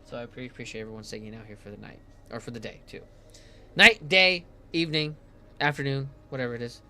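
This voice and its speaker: A man streaming a game from home on a headset, chatting casually and reading in-game text aloud, tripping over hard names. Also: mid-range voice. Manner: reads lore in a slower, calmer voice.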